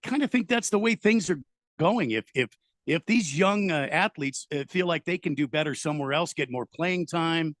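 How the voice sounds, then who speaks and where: A man's voice: on the high side, moderate pace, a bit bright, no room sound, clean studio sound. A man hosting a live sports talk radio show, chatty and full of hesitant fillers and restarts.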